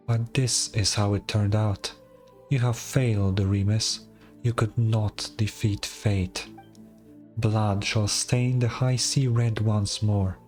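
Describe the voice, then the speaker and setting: An ancient dragon lord played by a man speaks in a stern, scornful manner. The delivery is deliberate and grandiose, like fantasy game dialogue, with faint music underneath. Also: on the low side, a little mellow.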